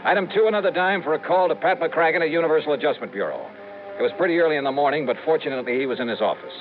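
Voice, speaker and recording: Lead for an old-time radio crime show: fast, animated male investigator and narrator, tinny broadcast sound. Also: on the high side, faint music behind. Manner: brisk, wisecracking, urgent. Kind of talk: 1950s radio drama acting.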